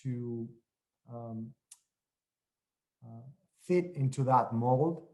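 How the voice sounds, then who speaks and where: A man with a Mexican accent, a journalist on an online panel, speaking in halting sentences full of restarts. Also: medium pitch, warm tone. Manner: halting, many restarts and repeated words.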